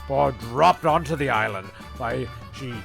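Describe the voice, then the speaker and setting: A man hosting and narrating an improvised comedy, halting and rambling as he goes. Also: mid-range voice, animated, creaky, a bit bright, faint music behind. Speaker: host and narrator.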